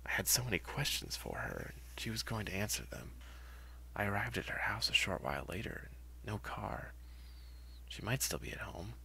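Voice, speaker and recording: A man narrating a first-person horror story in a dramatic, hushed and halting way. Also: lowish voice, big pitch swings, vocal fry, husky.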